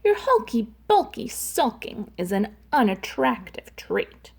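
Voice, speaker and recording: A woman's voice: on the high side, big pitch swings, slow pace, husky, full character voice. A woman voicing a blunt, straight-talking male octopus in a sing-song rhyming delivery.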